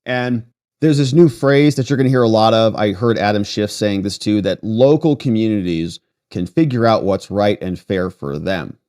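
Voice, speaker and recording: A man's voice: lowish voice, moderate pace, darkish tone. A man hosting a political show, giving casual livestream commentary in a mocking, sarcastic manner.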